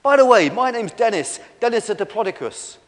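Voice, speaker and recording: A man's voice: lively intonation, brisk. A man voicing a massive, kindly storybook diplodocus who speaks in a helpful, reassuring way. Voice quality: deep. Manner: reassuring, explanatory. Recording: long echoey hall reverb.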